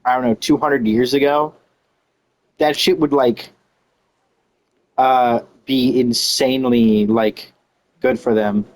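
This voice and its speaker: A woman streamer giving casual, slangy reactions to a game, excitable and prone to swearing. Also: extremely low pitch, takes it slowly, pauses often, poor audio. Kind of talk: casual live gameplay reaction.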